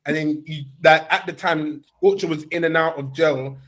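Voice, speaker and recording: Young host, chatty storyteller, slightly raised pitch, quick restarts. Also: male voice. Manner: animated anecdote, many restarts. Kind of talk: casual chat, storytelling.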